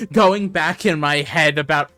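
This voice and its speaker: A young man chatting casually on a game stream, relaxed and agreeable, dropping in short fillers. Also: on the high side, takes it slowly, over music. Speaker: streamer co-host.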